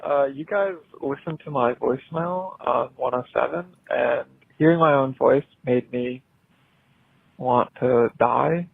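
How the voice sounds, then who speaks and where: A man's voice: mid-range voice, unhurried, creaky, breathy edge, no echo. A man from Massachusetts leaving a self-conscious, hesitant voicemail for a podcast. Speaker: voicemail caller. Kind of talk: recorded voicemail message. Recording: tinny phone voicemail.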